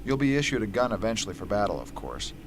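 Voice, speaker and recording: A man's voice: fairly low pitch, sing-song, quick pace. A man acting in an amateur radio drama as a kindly, enthusiastic weapons inventor. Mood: encouraging. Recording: faint background noise.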